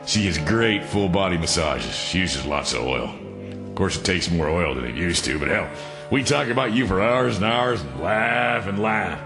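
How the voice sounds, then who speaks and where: An actor playing a gruff, impatient, needling police officer in a noir radio drama. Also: male voice, low-pitched, lively intonation, normal speed. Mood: taunting. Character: gruff, needling male police officer, detective's old acquaintance. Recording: roomy reverb, music underneath.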